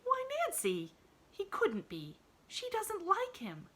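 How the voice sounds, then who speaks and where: A woman's voice: high-pitched, sing-song, moderate pace, bright, forceful tone, pitched above the performer's own voice, exaggerated voice. A woman voicing a cheerful, earnest little girl who talks eagerly. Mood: protesting.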